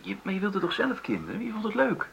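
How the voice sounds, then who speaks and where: An actor playing a hesitant, placating middle-aged husband in a marital argument in a radio play. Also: male voice, sits high, wildly swooping pitch, fast talker, gravelly, fairly bright tone, slightly rough, exaggerated voice, low-quality recording. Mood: defensive. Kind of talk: naturalistic Dutch radio play dialogue.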